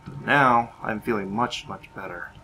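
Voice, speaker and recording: A man's voice: fairly low pitch, normal speed, pushed and bright, slight background noise, poor audio. A man voicing a hungry, scheming vampire necromancer in a video game roleplay, musing in a sinister way. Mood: brooding, wary.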